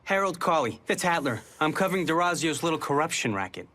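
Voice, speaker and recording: An actor playing a cheeky, fast-talking muckraking reporter in a video game, wry and flippant. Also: male voice, on the high side, animated, sharp tone. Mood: wry, eager. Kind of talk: video game cutscene acting.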